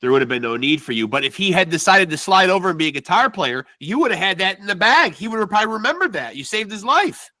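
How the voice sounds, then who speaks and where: Bright-voiced man hosting a podcast. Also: high voice, very animated, fast, few pauses, dry recording. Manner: brief agreeing backchannels. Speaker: podcast host. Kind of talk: casual interview chat.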